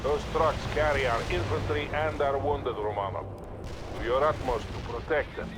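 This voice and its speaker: An actor playing a stern, weary Soviet colonel in a video game, giving clipped orders with a Russian accent. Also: male voice, mid-range voice, steady tone, moderate pace, lightly acted. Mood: commanding. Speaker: military commander in a game. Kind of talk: scripted video game cutscene acting. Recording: loud battlefield noise behind.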